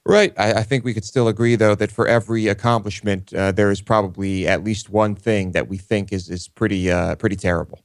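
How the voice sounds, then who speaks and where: A man's voice: lowish voice, steady tone, brisk, few pauses, no room sound. A man co-hosting a political talk show, conversational, with a questioning, skeptical way of talking.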